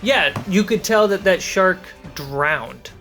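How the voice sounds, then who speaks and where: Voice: medium pitch, lively intonation, takes it slowly, fairly bright tone. A game master and show host talking in the style of a tabletop fantasy game. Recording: background music under voice. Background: background music.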